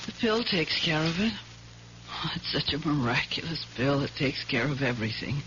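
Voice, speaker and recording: An actress playing a gravely ill, gentle wife in an old-time radio drama, drowsy and languid. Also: female voice, low voice, very animated, normal speed. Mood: drowsy, resigned. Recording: muffled, noisy vintage radio recording.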